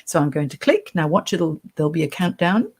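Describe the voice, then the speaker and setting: A woman career coach with a soft voice, chatting her way through a screen-share tutorial on a computer mic. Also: sits low, sing-song, brisk. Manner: chatty, reassuring, talks through clicks.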